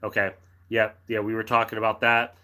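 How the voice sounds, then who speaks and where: A middle-aged American man with a lowish voice and a bright, forward tone hosts a casual livestream. He chats in a friendly, rambling way and reads viewer comments aloud. His throat is dry, he has a cough drop in his mouth, and he keeps clearing his throat and restarting his sentences. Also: monotone, a little fast. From United States. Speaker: livestream host reading chat. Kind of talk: casual live chat.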